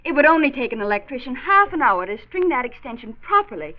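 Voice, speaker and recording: High-pitched, animated 1940s radio housewife. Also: female voice, fast talker. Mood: exasperated. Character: worried, exasperated young wife and mother, 1940s household. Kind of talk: 1940s radio drama acting. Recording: muffled, noisy 1940s radio transcription recording.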